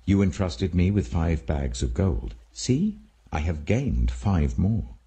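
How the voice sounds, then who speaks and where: A man acts out an earnest male servant in a scripture story, deferring to his master. The voice is low and very husky, with expressive swings in pitch. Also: slow pace, heavily acted. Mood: eager, respectful.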